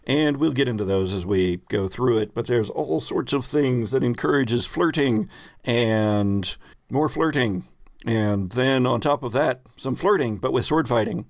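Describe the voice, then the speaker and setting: A man's voice: mid-range voice, creaky, no room sound, studio quality. A man hosting and narrating a tabletop roleplaying game as game master, with casual improvised phrasing and dramatic storytelling emphasis. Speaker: podcast host and game master.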